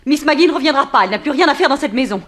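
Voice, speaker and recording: Quick, anxious captive young heroine. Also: female voice, high voice, animated, fairly bright tone. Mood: agitated. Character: anxious captive young woman named Nora. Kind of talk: 1960s French radio serial acting.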